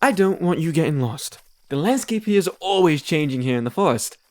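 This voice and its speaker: A man's voice: on the high side, animated, fairly quick, no room sound, lightly acted. A man playing a charming, playful demon who is a wandering bard in an audio drama, theatrical, flirtatious and breezy. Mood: friendly.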